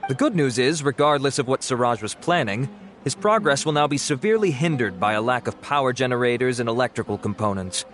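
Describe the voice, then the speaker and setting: A man's voice: medium pitch, animated, brisk, a bit bright, lightly acted. An actor voices a male investigating officer in a video game. He is formal, deferential and orderly in a mid-range voice, with faint music underneath. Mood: businesslike. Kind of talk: video game character acting.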